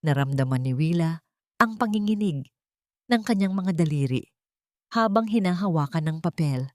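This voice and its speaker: Low-pitched Filipino woman narrating, close and dry. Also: expressive, moderate pace, darkish tone, no room sound. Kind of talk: scripted storytelling narration of a romantic drama.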